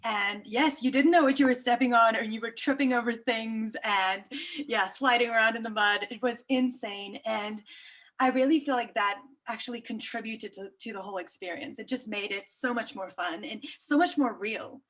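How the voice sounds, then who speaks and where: A woman actress from South Africa, a native Afrikaans speaker with a South African accent, chatting brightly in an interview and laughing often. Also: on the high side, normal speed. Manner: bubbly and chatty, laughs often, many fillers.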